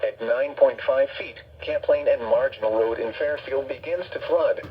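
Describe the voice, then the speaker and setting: A man's voice: medium pitch, normal speed, breathy edge. Dull-sounding synthetic male weather radio announcer. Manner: flat, formal, synthetic delivery. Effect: played through weather radio speaker. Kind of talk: scripted emergency weather bulletin. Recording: dull radio speaker, faint background noise.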